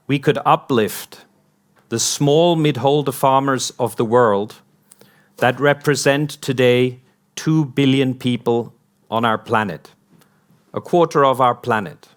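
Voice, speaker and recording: Slow-speaking man giving a conference speech. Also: mid-range voice. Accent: American. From Hong Kong. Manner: slow, rhetorical, repeating "what if" questions. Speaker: company founder giving an event talk.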